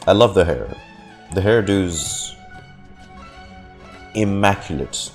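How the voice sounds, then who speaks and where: A man's voice: low-pitched, animated, takes it slowly. A man giving casual, rambling live reactions to a game, reading lines aloud with wry asides. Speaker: game streamer. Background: game music.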